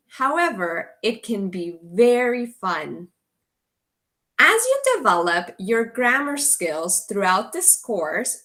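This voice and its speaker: A woman teacher from Guatemala, giving a slow, clear orientation to an online English as a course. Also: on the high side, a bit bright. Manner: slow, deliberate delivery for language learners.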